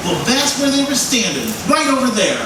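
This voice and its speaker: A performer playing an excitable, naive young copy boy in a 1940s-style radio drama. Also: mid-range voice, fairly quick, lightly acted. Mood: rattled. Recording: echoey hall, distant mic, clear noise.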